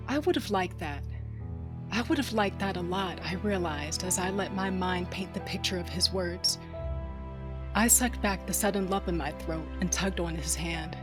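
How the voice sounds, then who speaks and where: A woman's voice: fairly low pitch, natural voice. A woman playing a tough, wounded, sardonic smuggler who tells her own story in an audio drama, intimate and wry. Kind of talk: first-person audio drama narration with dialogue.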